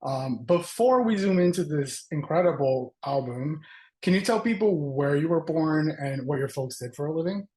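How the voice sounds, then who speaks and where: A man's voice: a little high, animated, normal speed, warm tone, poor audio. A man from Brazil, a native Portuguese speaker, hosting a casual celebrity interview in a gushing, admiring way.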